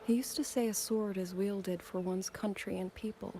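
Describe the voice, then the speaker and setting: An actress playing a grieving former musician turned soldier in a video game, speaking softly and measuredly with wistful resolve, over background music. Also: female voice, medium pitch, steady tone, normal speed, a little hiss or hum, lightly acted. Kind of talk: dramatic video game voice acting.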